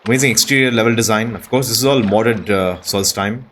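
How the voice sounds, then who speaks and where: A man's voice: on the low side, brisk, a bit bright, slight background noise. A man giving casual gameplay commentary, talking to himself and the game's characters with awestruck exclamations. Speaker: gaming video host.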